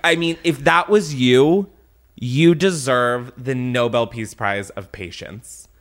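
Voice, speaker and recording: A man's voice: mid-range voice, slow pace, fairly bright tone. A man hosting a comedy podcast, bantering casually in a campy, exaggerated, slangy way as he makes prank calls.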